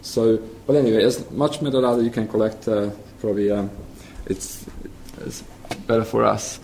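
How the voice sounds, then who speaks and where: A man with a Hungarian accent presents at a talk, pausing often with ums and restarting his sentences. His voice is lowish and mellow. The sound is roomy, with a faint hiss behind it. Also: steady tone, gravelly. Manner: hesitant, many ums and restarts. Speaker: software engineer presenting. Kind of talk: conference presentation.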